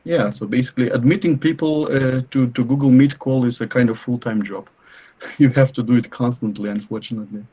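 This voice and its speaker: A man casually hosting a live online meetup, speaking haltingly as he thinks aloud. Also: medium pitch, fairly even intonation, dark tone, breathy edge, dull audio. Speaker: host of an online study event.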